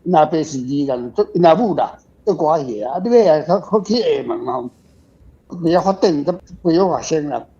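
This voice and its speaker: A man's voice: a little high, animated, a little fast, gravelly, dark tone, breathy edge. A man with a Taiwanese accent answering casually as a guest author in a panel discussion, rambling and repeating his words. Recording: dull, lo-fi sound.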